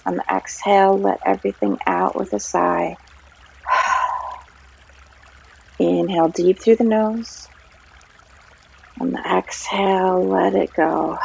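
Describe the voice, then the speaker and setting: Female meditation guide, gentle and unhurried, heavy vocal fry, husky breath, stream trickling behind a slightly dull recording. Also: medium pitch. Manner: gentle, unhurried, long pauses between phrases. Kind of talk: guided meditation, soothing narration. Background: flowing stream water.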